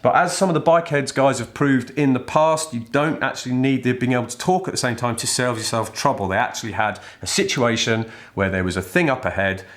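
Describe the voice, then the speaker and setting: A man's voice: on the low side, a bit bright. A middle-aged British man giving an informal product review to camera in a chatty, irreverent way with casual slang. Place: indoor studio set styled as a workshop.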